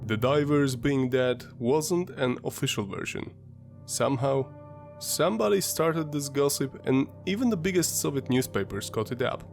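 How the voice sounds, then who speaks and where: Brief: male host, stuffy sinus-congested voice, mid-pitched, measured documentary read, faint music underneath. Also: lively intonation, vocal fry. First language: Polish. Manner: measured, explanatory storytelling. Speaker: history channel host. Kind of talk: scripted documentary narration.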